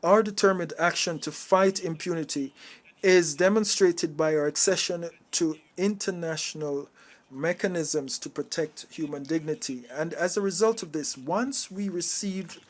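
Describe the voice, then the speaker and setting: Higher-pitched man interpreting a formal speech. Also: moderate pace. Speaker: simultaneous interpreter.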